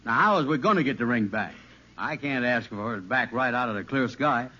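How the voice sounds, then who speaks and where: An actor playing a slow-witted, gullible, easygoing man in an old radio sitcom, with a bumbling, hesitant, folksy delivery. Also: mid-range voice, expressive, bright, forceful tone, breathy edge. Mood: worried. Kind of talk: 1940s radio sitcom acting. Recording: muffled, hissy old radio recording.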